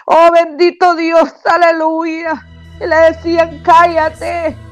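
A woman's voice: extremely high pitch, little pitch movement, unhurried. A woman preaching a fervent sermon, often breaking in with "mi amado hermano" and cries of praise. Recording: dull lo-fi sound with faint music behind.

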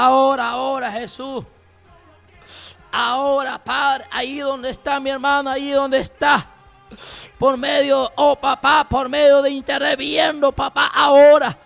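A man leading fervent intercessory prayer, shouting and pleading in repeated commands, breaking into tongues. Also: very high voice, creaky.

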